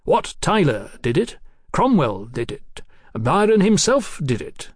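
A man's voice: fairly high pitch, big pitch swings, measured pace, slightly rough, no echo, studio quality, pitched above the performer's own voice, exaggerated voice. A man voicing a zealous young revolutionary for an audiobook, haughty and grandiose, declaiming like an orator. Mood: fervent. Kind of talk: audiobook character acting.

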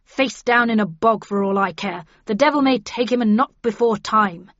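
Woman as gentleman husband, bitter, angry, declamatory, storybook character, dry dull recording. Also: a little high, moderate pace, no echo, lightly acted. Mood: angry scorn.

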